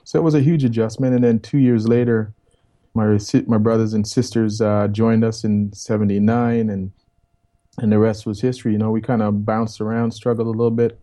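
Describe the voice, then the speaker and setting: A man in his fifties from Jamaica, with a Jamaican accent, telling his life story as an interview guest in a rambling, relaxed way, repeating words and saying "man". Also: fairly low pitch, fairly quick. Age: 50s.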